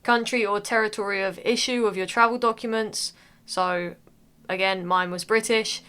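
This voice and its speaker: A woman's voice: medium pitch, steady tone, normal speed, bright, forceful tone, clean tone. A young woman from the United Kingdom talking casually through an online form, with frequent "uh" fillers. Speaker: tutorial narrator. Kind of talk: casual screen-recording walkthrough.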